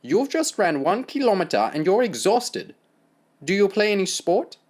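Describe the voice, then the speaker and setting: A man's voice: high-pitched, sing-song, normal speed, pitched above the performer's own voice. A man voicing a stern sixty-year-old German army doctor with a German accent, blunt and scornful.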